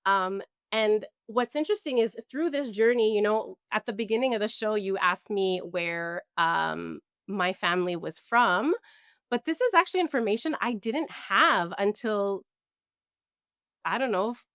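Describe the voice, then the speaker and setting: A woman's voice: mid-range voice, a little slow, fairly bright tone, no echo, studio quality. A woman guest on an interview show, talking reflectively with many fillers and restarts. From Canada. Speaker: guest, mental health counselor. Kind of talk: conversational interview.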